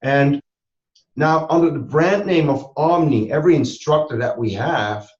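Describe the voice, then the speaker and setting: A man's voice: on the high side, unhurried, a little mellow. A man from Switzerland, talking conversationally as a trainer being interviewed. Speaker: interview guest, hypnosis trainer and school owner. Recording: roomy echo, slightly dull sound.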